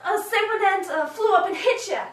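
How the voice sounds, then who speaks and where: A woman playing an anxious, scheming young boy in a stage monologue, speaking in an urgent, halting way. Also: very high voice, pitched above the performer's own voice. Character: anxious young boys, scheming older brothers. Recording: echoey room, distant microphone.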